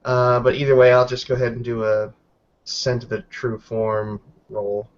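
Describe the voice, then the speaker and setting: A man's voice: mid-range voice, monotone, moderate pace, muffled recording. A man playing in a tabletop roleplaying game, improvising narration of a character's actions in the third person.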